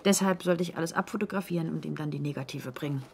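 A young secretary turned informant. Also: female voice, sits low, fast, creaky, a little mellow. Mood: confiding. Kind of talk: radio drama acting.

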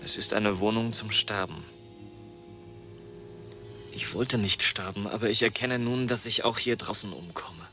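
A man's voice: lowish voice, measured pace, long pauses, bright, forceful tone. An actor playing a frail, despairing boy in a radio drama, speaking in formal, solemn words. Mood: bleak, pleading. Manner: formal, solemn, literary diction. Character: frail, despairing freezing boy named Anders. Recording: music behind the voice.

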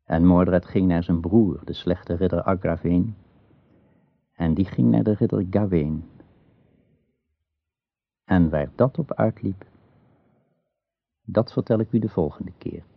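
A man narrating a radio play as a solemn storyteller of legend, in a measured, deliberate, literary manner. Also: deep voice, gravelly, no echo, muffled recording, full character voice. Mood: ominous.

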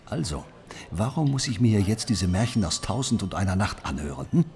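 A man's voice: lowish voice, sing-song, a little fast, a little mellow. A man acting an impatient, sarcastic police investigator in a German radio drama, needling a suspect. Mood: stern, pressing. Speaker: actor in a radio play.

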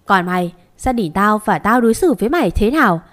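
A woman voicing a stern, angry wealthy husband who shouts as he interrogates. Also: medium pitch, brisk, natural voice. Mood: furious.

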